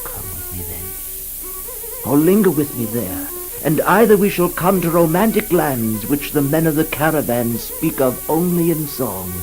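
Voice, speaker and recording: A man's voice: fairly high pitch, very animated, unhurried, a little mellow, voice pushed up, poor audio. Man acting a grandiose, yearning dreamer king. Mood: rapturous, pleading. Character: lovelorn king rapturously recounting a dream. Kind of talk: 1960s radio drama monologue. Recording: 1960s radio production with music behind.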